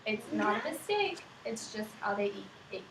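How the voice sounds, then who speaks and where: A woman's voice: mid-range voice, very animated, normal speed. A young woman reading aloud to small children as a student teacher, asking simple questions in an encouraging, affirming way. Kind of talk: interactive picture-book read-aloud with questions to young children. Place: indoors in a classroom with young children. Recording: echoey room, distant mic, clear background noise.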